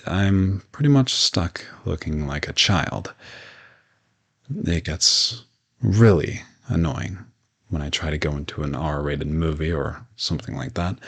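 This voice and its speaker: A low-pitched, gravelly modern vampire, played by a man, speaks in a roleplay audio drama. He is polite and reassuring, explaining things in a gentle, conversational, matter-of-fact way. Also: full character voice. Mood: resigned.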